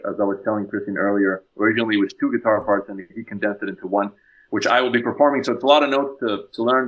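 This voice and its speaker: Low-voiced enthusiastic man, Mexican-born guitarist. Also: dull audio. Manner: enthusiastic, frequent restarts and repeated words. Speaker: guest composer and guitarist. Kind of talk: casual podcast chat.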